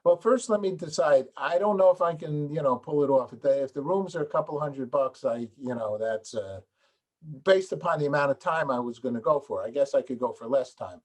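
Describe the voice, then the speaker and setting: A man interviewing a guest in a casual phone chat, rambling and joking as he thinks out loud. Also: fairly high pitch, fairly quick, rough recording. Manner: chatty, rambling, jokey. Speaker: interviewer, host of peace concert show.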